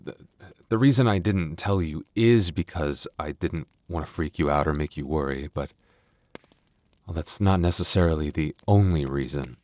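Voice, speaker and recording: A man playing a gentle, sheepish young werewolf boyfriend in an intimate ASMR roleplay, speaking softly and hesitantly. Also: rumbling low, moderate pace, dry recording. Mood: sheepish, reassuring. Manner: soft, hesitant, stammering.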